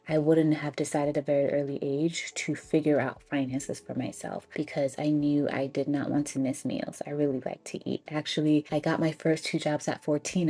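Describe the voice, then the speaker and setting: A young American woman telling personal stories in a casual, reflective, conversational way, pausing for emphasis. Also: deep voice, dark tone, husky. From Ghana and the Bronx, US. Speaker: personal finance storyteller.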